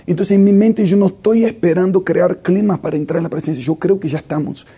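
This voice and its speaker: Worship leader, thirties, male, higher-pitched but husky, reflective with hesitations. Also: brisk, warm tone. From Brazil. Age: 30s. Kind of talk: interview answers.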